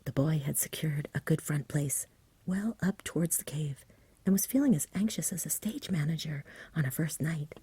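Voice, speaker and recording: A woman storyteller reads in an ASMR style, in a low, very breathy whisper. Her delivery is gentle and soothing, mellow, and close to the mic. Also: lively intonation, moderate pace, dry recording. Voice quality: soft-spoken, whispery, breathy. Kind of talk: ASMR bedtime story reading, scripted narration.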